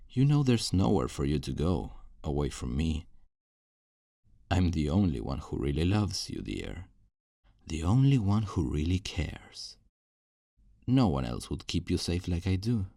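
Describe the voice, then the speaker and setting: A man's voice: very deep voice, vocal fry, mellow, no room sound, studio quality, full character voice. A man playing a possessive, self-righteous kidnapper in an ASMR roleplay, speaking in a condescending, coaxing, menacingly tender way. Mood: possessive menace. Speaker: audio roleplay performer.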